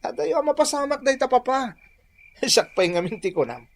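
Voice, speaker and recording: A lovestruck young gentleman with an Ilocano Filipino accent, acted by a man. His voice sits high and moves up and down a lot as he plays the eager, devoted son in radio comedy. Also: animated, moderate pace, dry recording. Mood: confident. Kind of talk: radio comedy drama acting.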